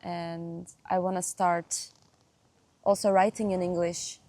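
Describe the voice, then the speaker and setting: A young woman from Turkey, whose first language is Turkish, chatting as a guest musician in an interview, laughing often and pausing with fillers. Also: fairly low pitch, flat delivery, darkish tone. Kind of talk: casual interview chat.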